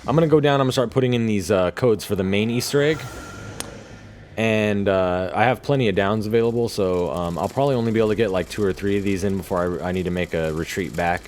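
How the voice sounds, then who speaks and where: A man's voice: on the low side, fast, a little mellow, slight background noise. A man giving casual, laid-back and sometimes sweary commentary over his own video game play. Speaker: gamer narrating his own gameplay video.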